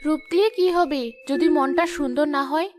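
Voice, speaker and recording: A woman's voice: high voice, fairly quick, over music, lightly acted. A woman playing a wronged, long-suffering kind wife in a melodramatic cartoon, plaintive and protesting. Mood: hurt. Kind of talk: melodramatic cartoon story dialogue.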